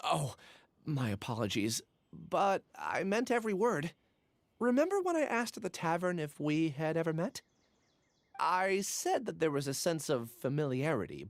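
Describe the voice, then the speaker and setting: An actor playing a gentle, troubled young scholar in a video game, hesitant and apologetic. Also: male voice, a little high, very animated, measured pace, no room sound. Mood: earnest, reflective. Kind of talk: video game character acting.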